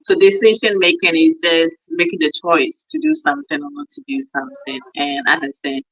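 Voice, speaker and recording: A woman's voice: lowish voice, expressive, brisk, gravelly, no room sound, lo-fi sound, soft background music. A woman from Ghana hosting a casual online business chat, talking earnestly and thoughtfully over a video call. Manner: earnest, reflective, explains with everyday examples. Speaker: host.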